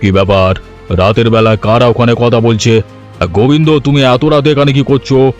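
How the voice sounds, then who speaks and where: A man playing a gullible, anxious village landlord in a cartoon, earnest and a little halting, pleading yet bossy. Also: medium pitch, steady tone, lightly acted. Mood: stern. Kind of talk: cartoon story dialogue. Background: music.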